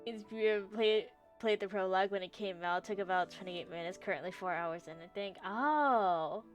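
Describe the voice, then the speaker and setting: A woman's voice: mid-range voice, normal speed. A woman streaming games, chatting casually and easygoingly with playful asides to her viewers. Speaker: variety game streamer. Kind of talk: casual livestream chat and reactions. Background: background music.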